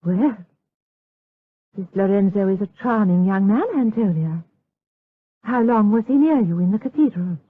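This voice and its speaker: Husky, stern widowed mother. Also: medium pitch, lively intonation, normal speed, pauses often. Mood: probing. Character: stern, protective widowed mother, formal and wary. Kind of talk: period audio drama acting. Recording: dull lo-fi radio drama recording.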